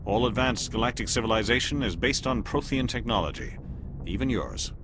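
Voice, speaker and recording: An actor playing a shrewd alien elite agent in a video game, speaking in a formal, deliberate, coolly superior way. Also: male voice, mid-range voice, background noise, lightly acted. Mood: grave, explaining. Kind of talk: video game dialogue acting.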